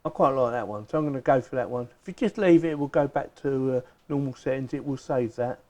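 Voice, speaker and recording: A man's voice: mid-range voice, fast talker, warm tone, a little hiss or hum. A middle-aged man with an English accent, giving a casual, chatty hands-on product review in plain, informal words.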